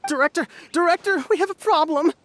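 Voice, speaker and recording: A woman's voice: very high voice, a little fast, a bit bright, slight background noise. A woman playing an anxious, urgent film crew member in an anime game. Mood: panicked. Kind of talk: anime video game voice acting.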